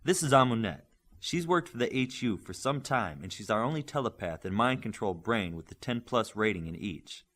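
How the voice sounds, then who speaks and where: A man voicing a brisk, authoritative male combat coach in an audiobook, to the point. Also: fairly low pitch, lively intonation, fairly bright tone. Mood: commanding.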